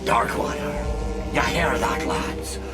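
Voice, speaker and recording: Taunting thug, wildly swooping. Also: male voice, mid-range voice, big pitch swings, slow pace, loud music behind the voice. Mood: menacing mockery. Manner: taunting, theatrical. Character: menacing, mocking pirate thug in a game.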